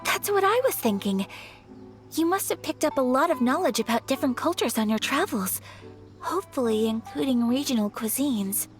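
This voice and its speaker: An actress playing a gentle, polite young noblewoman in an anime-style video game, courteous and a little hesitant. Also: sits high, lively intonation, moderate pace. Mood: polite, earnest. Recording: faint game music behind.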